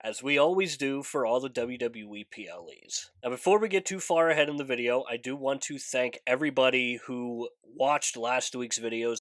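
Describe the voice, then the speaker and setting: A man's voice: medium pitch, a bit bright, no echo. A man with a congested nose, hosting a casual video preview of upcoming matches, earnest and chatty, leaning on stressed words. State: congested, recovering from a fever. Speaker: wrestling preview channel host. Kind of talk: casual vlog commentary, breaking down upcoming matches.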